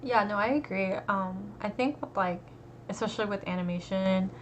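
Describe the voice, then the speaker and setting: A young American woman, an art student, talking casually and relaxed, with lots of fillers. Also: medium pitch, normal speed. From United States. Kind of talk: casual conversation. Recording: dull lo-fi recording with faint noise.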